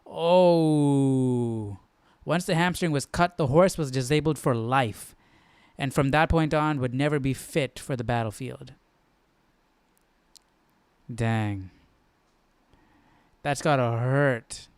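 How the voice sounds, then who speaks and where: A young man hosting a casual live study stream, musing aloud in an informal, halting way. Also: mid-range voice, clear, resonant tone, no room sound. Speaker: host.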